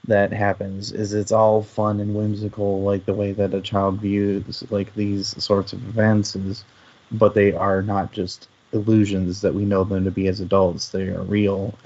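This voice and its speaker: A man with a fairly low voice talks through ideas in a casual, analytical way. He sounds like a panelist in an informal discussion. The recording is a little dull and lo-fi. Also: flat delivery, a little slow, creaky, a little mellow.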